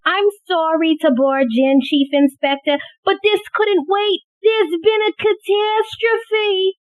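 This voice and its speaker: A woman's voice: very high voice, fairly even intonation, takes it slowly, no echo. A woman acting in an audio play as an anxious, eager police secretary bringing urgent news. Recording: thin phone-like audio, very dry.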